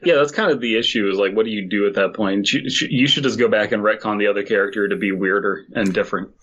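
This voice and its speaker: Low-voiced man, rambling podcast co-host. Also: quick pace, a bit bright, slightly rough, lo-fi sound. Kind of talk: casual comic banter and reading fan fiction aloud.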